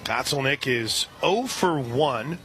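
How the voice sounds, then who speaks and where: A man doing live radio play-by-play of a softball game, relaxed and chatty, lifting his voice on big plays. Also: medium pitch, slow pace, faint music behind.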